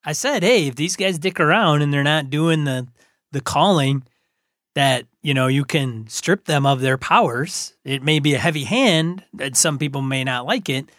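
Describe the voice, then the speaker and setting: A man's voice: fairly high pitch, normal speed, fairly bright tone, dry recording, clean studio sound. A North American man co-hosting a podcast, talking casually in a blunt, slangy, informal way. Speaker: podcast co-host. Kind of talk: casual discussion.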